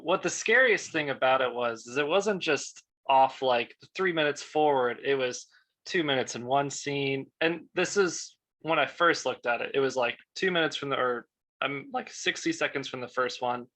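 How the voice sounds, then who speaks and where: A young man with a bright, sharp-edged voice of average pitch. He talks casually as a panelist about his creative work. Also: moderate pace. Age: young adult. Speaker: video animator on a panel.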